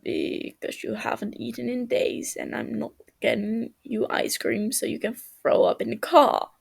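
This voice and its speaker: A woman voicing a stern, big-brotherly male villain with a dry, calm manner in a story reading. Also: on the high side, a little slow, creaky, breathy edge, heavily acted. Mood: reluctant, chiding. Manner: dry, calm, hesitant when sincere.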